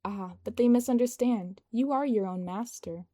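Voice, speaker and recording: A woman's voice: on the high side, moderate pace, mellow, lightly acted. A woman voicing a self-assured, teasing young male leader in an audio story. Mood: confident, friendly.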